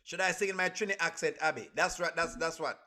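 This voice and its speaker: A man with a Caribbean accent and a fairly high, very bright, forceful voice. He chats casually as a livestream host reacting along with viewers, and often says a phrase over again for emphasis. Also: fast.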